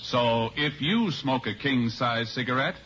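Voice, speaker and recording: A man's voice: medium pitch, expressive, measured pace, a bit bright. A man announcing in a formal, emphatic 1950s radio style. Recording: muffled vintage radio recording, faint noise.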